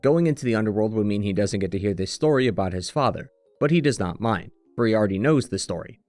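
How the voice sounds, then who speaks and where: A man's voice: fairly low pitch, lively intonation, quick pace, darkish tone, dry recording, faint music behind. A man reading scripted, analytical commentary as the narrator and critic of a video game retrospective.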